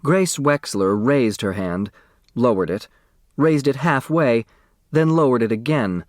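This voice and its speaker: A man reading a story as an audiobook narrator, in expressive scripted narration. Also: medium pitch, a little slow, dry recording, studio quality.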